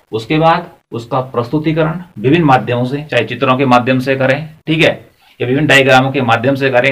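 A man in his forties teaching at a chalkboard, explaining step by step and posing rhetorical questions to the class. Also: mid-range voice. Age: 40s. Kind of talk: blackboard lecture. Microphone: lapel mic.

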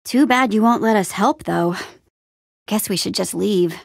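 A woman's voice: mid-range voice, measured pace, gravelly, dry recording, clean studio sound. A woman playing an upbeat, plucky young female adventurer in a video game, with an eager, wry and casual way of talking. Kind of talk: animated adventure game dialogue.

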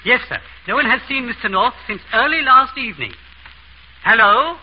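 A man's voice: very high-pitched, animated, moderate pace, breathy edge, full character voice. A man playing a dutiful, apologetic, formal servant in a 1930s radio drama, deferential and proper. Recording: dull, hissy 1930s radio recording.

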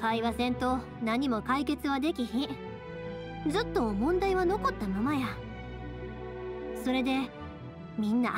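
A woman voicing a chatty, warm-hearted young fireworks maker with a Kansai accent in an anime-style game dub. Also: a little high.

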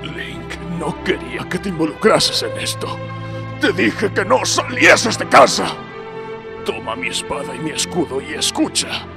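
A man's voice: sits high, sing-song, measured pace, vocal fry, heavily acted. A man voicing a grave, fatherly fantasy mentor giving instruction in a parody cartoon dub. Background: music.

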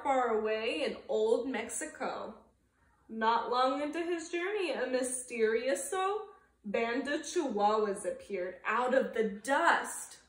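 A young woman narrating a children's picture book as a preschool storytime reader, with asides to the listeners. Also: sits high. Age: young adult. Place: living room, indoors. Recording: roomy sound from camera distance.

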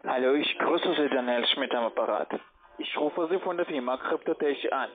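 A man's voice: mid-range voice, normal speed. Non-native male caller, tinny phone. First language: not German. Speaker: call centre agent. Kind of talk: phone sales call.

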